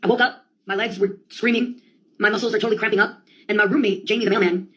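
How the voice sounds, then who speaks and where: Woman, quick-fire comic monologuist: rambling, slangy and sweary, with a theatrical streak and a mid-range voice. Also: medium pitch, steady tone, a bit bright. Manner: sweary, theatrical, slangy delivery with quoted outbursts.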